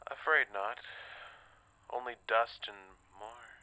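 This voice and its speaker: Man acting a bright-voiced sceptical male astronomer. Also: mid-range voice, slow, rough recording. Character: male astronomer, sceptical, mild-mannered, devoted husband. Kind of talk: modern audio drama acting with spoken log entries.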